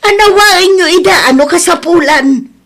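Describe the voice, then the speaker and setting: A woman's voice: very high-pitched, moderate pace, fairly bright tone. A woman with an Ilocano accent playing an outspoken, scolding village woman, blunt and firm, in an audio drama. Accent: Ilocano, northern Philippines. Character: scolding, outspoken village woman who stands her ground.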